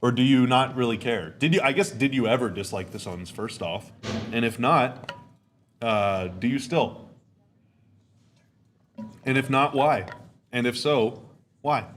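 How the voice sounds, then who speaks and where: A young adult man, a bit hoarse and raspy, hosting a casual live stream from home and talking freely with his viewers. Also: mid-range voice, measured pace, pauses often, a bit bright, soft background music. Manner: chatty, reads chat comments aloud. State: hoarse, dry sinuses. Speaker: podcast host. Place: home room.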